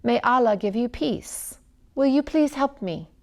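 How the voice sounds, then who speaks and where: A woman's voice: fairly high pitch, animated, a little slow, a little mellow, voice pushed up. A woman voicing a troubled, courteous man in a storybook, pleading formally and emphatically for help. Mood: worried, courteous. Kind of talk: storybook character reading.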